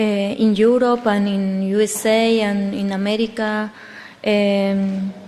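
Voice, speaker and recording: A woman talks at a conference in a mid-range voice, very slowly and with care, stopping often to find the English. A long hall reverb trails her words. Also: mid-range voice, darkish tone. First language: Spanish. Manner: careful, struggling for English words. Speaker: conference speaker. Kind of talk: halting conference discussion.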